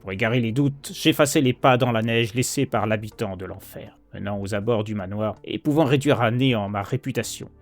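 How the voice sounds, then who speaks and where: Low-voiced haunted male heir, acted by a man, solemn theatrical horror poetry, measured delivery over prominent music. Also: lightly acted. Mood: guilty anguish. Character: anguished, guilt-ridden male heir turned vengeful horror narrator. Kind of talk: dramatic horror poem narration.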